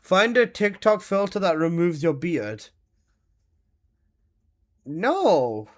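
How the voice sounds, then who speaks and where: A man in his early twenties chats live with his stream audience while he plays. His talk is casual, teasing and full of swearing and quick laughs. His voice sits slightly on the high side for a man. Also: very animated. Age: 20s. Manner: joking, swearing banter with frequent laughs. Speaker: game streamer.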